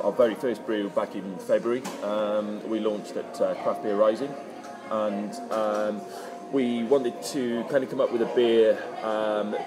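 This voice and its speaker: A man giving informal, halting answers as an interview guest. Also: on the low side. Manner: hesitant, halting. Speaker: interview guest, brewery founder.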